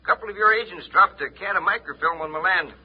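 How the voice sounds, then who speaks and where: An actor playing a folksy, friendly homesteader with a gravelly, husky voice in a 1950s radio serial. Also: male voice, sits high, fast talker. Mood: respectful, helpful. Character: folksy, friendly rustic Martian homesteader, lonely and helpful. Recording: muffled, hissy 1950s radio recording.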